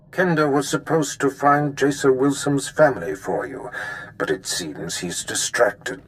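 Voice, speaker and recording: An actor playing a commanding, ruthless Sith master in a video game, speaking in a measured, formal, imperious way. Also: male voice, sits low, a bit bright, poor audio. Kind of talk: video game cutscene acting.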